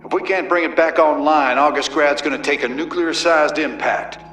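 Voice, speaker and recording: An actor playing a gruff, weary middle-aged frontier commander with a folksy Southern American drawl in a video game. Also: male voice, fairly high pitch, moderate pace, a bit bright, faint music behind, lightly acted. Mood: urgent, gruff. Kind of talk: video game cinematic and mission dialogue acting.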